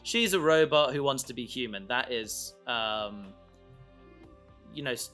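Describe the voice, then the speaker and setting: A man chatting casually as a podcast co-host, halting and often interrupting himself as he rambles. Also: mid-range voice, fairly bright tone, faint music behind. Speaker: podcast co-host and audio drama creator.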